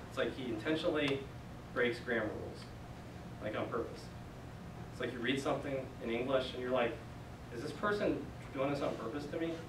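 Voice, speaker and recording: A young man teaching a Greek class in a casual, conversational way, recorded by a far camera in a church meeting room. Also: medium pitch. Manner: casual, conversational, many fillers. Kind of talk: classroom lecture. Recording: echoey room from far off, noisy.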